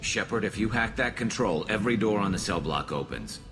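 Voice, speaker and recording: A man's voice: fairly low pitch, expressive, a little fast. A man playing a blunt squadmate of a space commander in a video game. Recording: loud music behind.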